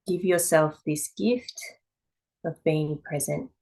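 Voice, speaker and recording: A woman teaching yoga, giving calm, measured guided meditation instruction in short phrases with pauses between them. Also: low-pitched, gravelly.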